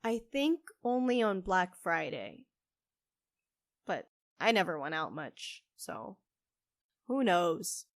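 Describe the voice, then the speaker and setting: Actress as hopeful young cafe witch, female, unhurried delivery, earnest, conversational, close dry sound, audio drama. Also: fairly low pitch, big pitch swings, dry recording, full character voice. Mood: upbeat.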